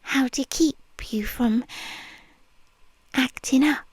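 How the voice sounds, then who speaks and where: A British woman voicing a possessive, controlling nurse in an ASMR roleplay, speaking in a slow, soft, intimate whisper close to the ear. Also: high voice, slightly rough, no room sound. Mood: coaxing.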